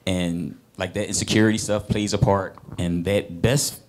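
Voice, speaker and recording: Low-voiced singer-actor speaking earnestly. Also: male voice, fairly even intonation, normal speed. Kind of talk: interview answers. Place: restaurant with wood-paneled walls. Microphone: handheld mic.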